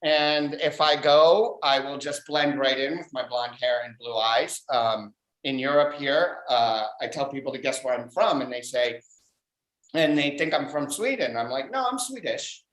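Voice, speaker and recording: A man doing a stand-up comedy set over a video call, delivering dry deadpan one-liners. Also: mid-range voice, measured pace, reverberant, low-quality recording. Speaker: stand-up comic. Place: abroad in a home room.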